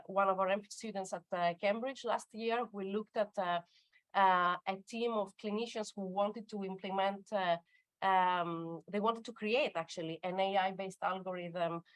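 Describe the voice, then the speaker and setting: A woman's voice: medium pitch, fairly even intonation, moderate pace, dry recording. Hesitant woman speaking on a conference panel. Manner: hesitant, many fillers and restarts. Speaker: academic panelist. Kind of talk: unscripted conference panel talk. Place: conference workshop room.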